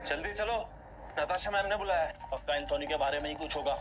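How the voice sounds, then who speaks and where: An actor playing an excitable, loyal casino employee in an audio drama. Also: male voice, a little high, fast, close to an everyday voice. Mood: urgent. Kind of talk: Hindi audio drama acting. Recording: loud music over the voice.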